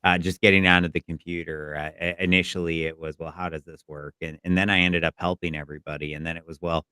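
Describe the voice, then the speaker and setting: A male host with a low, crisp and forceful voice leads a casual interview, upbeat and laughing along with his guest. The sound is dry and close. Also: level tone, fast, dry recording.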